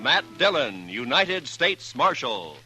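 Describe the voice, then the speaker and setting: Dramatic male radio announcer over loud music. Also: mid-range voice, very animated, takes it slowly.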